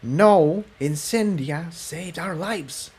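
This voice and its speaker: Man putting on a high, suspicious female pony. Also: drawn-out words, slightly rough, exaggerated voice. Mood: sour. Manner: sharp, venomous retorts. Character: feisty, suspicious, sour-tempered female pony.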